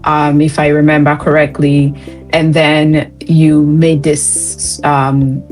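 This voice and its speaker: Slow, low-voiced woman host from Ghana. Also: flat delivery, darkish tone, soft background music. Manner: encouraging, chatty, laughs lightly. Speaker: interview host. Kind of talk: conversational interview.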